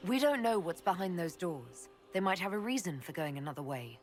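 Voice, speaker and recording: An actress playing a direct, skeptical adventurer and leader in a fantasy video game, plain-spoken and questioning. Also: female voice, fairly low pitch, expressive, a little fast, soft background music, natural voice. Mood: urgent, practical.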